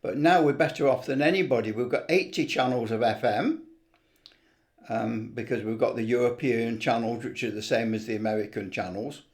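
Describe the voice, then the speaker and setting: A man's voice: mid-range voice, steady tone, normal speed. A middle-aged or older man talking casually and enthusiastically in a rambling, unscripted way as he presents a hobby video. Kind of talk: casual unscripted vlog talk.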